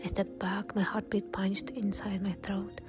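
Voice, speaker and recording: A woman narrating a horror story in a breathy, unvoiced whisper. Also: medium pitch, level tone, unhurried. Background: soft music.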